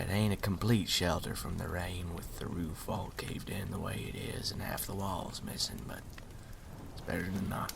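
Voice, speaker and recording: Folksy old-frontier story collector, played by a man: deep, heavily creaky, half-whispered and hospitable, for ASMR roleplay. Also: noisy background, full character voice. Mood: coaxing, friendly. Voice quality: gravelly, breathy half-whisper.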